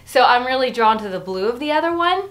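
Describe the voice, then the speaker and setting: Expressive, high-voiced woman vlogging casually. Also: fast talker. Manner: gushing, expressive. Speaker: home decor vlogger. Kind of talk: casual, chatty review to camera. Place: furnished bedroom indoors.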